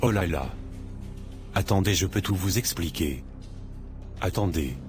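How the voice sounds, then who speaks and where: Un homme qui double un petit malfrat de dessin animé, affolé quand il est acculé. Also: male voice, low voice, measured pace. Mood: pleading. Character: adult male small-time criminal, cornered and panicky, later repentant. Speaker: cartoon character. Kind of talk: animated drama dubbing. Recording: music playing behind the voice.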